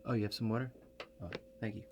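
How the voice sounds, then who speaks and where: Male ASMR roleplayer, lowish voice, hesitant and polite, speaking straight to the listener over a prominent steady hum. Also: quick pace. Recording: loud steady hum behind the voice.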